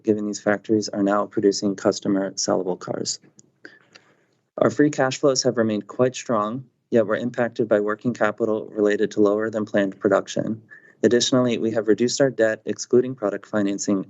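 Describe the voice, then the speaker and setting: A man with a fairly low voice reads prepared financial remarks at an even, businesslike pace. He sounds measured and composed throughout. The audio is dull and lo-fi, like a conference call. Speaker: company finance chief on an earnings call.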